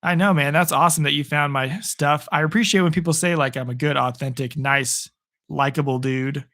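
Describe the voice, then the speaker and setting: A man streaming live football reactions, his voice worn hoarse after a long session. He sits a bit higher in pitch than most men and keeps up a chatty, upbeat stream of commentary and replies to viewers. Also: fairly even intonation, fairly quick, a bit bright. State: hoarse from hours of talking. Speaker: sports streamer. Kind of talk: live play-by-play and chat reactions.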